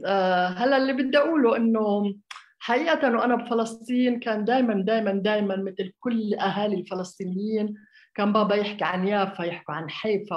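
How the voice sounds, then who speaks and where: A woman's voice: medium pitch, dull audio. A woman raised in Jordan, an architect giving a conversational public talk, hesitant, with many fillers and restarts. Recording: echoey room.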